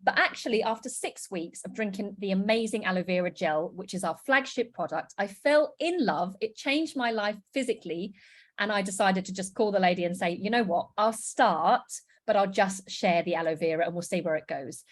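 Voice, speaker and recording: Bubbly british woman in her thirties pitching on a video call, gushing and upbeat. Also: moderate pace. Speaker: business presenter. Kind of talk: online sales presentation.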